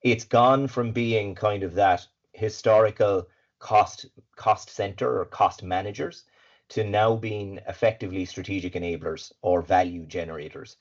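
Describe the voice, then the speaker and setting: An Irish man with a raspy voice, slightly congested from hay fever, giving an upbeat webinar talk as guest speaker. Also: fairly low pitch, fairly even intonation, unhurried. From Ireland. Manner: upbeat, fluent, with filler words and repeats. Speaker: guest speaker, supply chain consultant. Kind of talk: webinar presentation. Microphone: video call. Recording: dull lo-fi video call audio.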